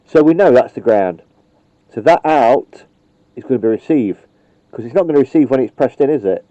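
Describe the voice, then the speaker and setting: A chatty man talks through a hands-on wiring job in a loose, rambling way, full of ums and the odd mild swear word. His voice is dark and mellow. The sound is dull and lo-fi, and it is very dry. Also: medium pitch, expressive, normal speed, dry recording. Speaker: radio hobbyist demonstrating wiring. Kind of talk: casual how-to demonstration. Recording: dull, lo-fi home video sound.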